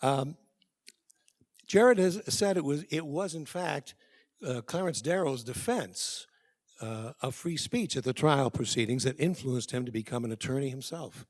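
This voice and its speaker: A man introduces a guest speaker at an event. His voice sits at a middle pitch, and his delivery is casual and unpolished, with frequent fillers. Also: a little slow.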